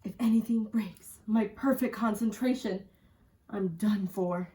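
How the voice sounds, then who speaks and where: A woman's voice: mid-range voice, measured pace, mellow, close to an everyday voice. A woman voicing a mild, earnest male pet dog musing to himself in a storybook, recorded at a distance from the microphone. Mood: strained, anxious.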